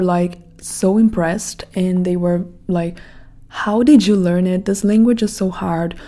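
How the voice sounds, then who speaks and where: A woman with a Brazilian Portuguese accent speaks in a relaxed, mellow tone at a middle pitch. She muses aloud as a podcast host, wandering through her thoughts with plenty of "like" fillers. Her delivery is casual and reflective. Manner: reflective, rambling with many "like" fillers. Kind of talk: casual monologue.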